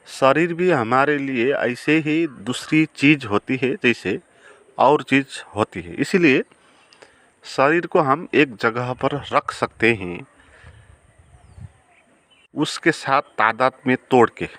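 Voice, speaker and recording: Slow, calm man guiding a meditation. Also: medium pitch. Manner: slow, calm, with long pauses. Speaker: meditation guide. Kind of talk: guided meditation.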